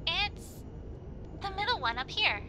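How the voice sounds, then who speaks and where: A woman's voice: extremely high pitch, very animated, a little slow, background noise, full character voice. A woman voicing an eager, studious young female pony co-pilot in an audio drama, speaking precisely. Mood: focused, precise. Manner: precise checklist reading.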